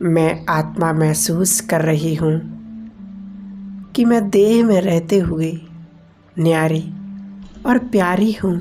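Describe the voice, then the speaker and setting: A woman leading a guided meditation in deliberate phrases with pauses between them. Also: lowish voice. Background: meditation music.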